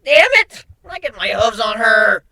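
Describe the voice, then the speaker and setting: A man putting on an excitable, high-pitched whiny pony. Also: a little slow, vocal fry, a crowd in the background, heavily acted. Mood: indignant. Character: brash, excitable male pony Nightmare, whining and cocky.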